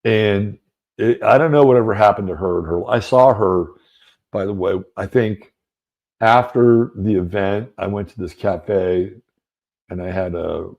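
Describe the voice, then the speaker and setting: A man's voice: lowish voice, unhurried, gravelly, highs cut off. A middle-aged man hosting an astrology show, telling a rambling story in a loose, conversational way full of fillers and asides. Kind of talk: casual storytelling monologue.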